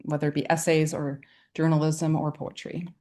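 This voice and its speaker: A woman's voice: low voice, level tone, moderate pace, dark tone. A middle-aged woman from Wisconsin, a poet and writer, answering interview questions in an earnest, reflective way. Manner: earnest and reflective, with light laughs.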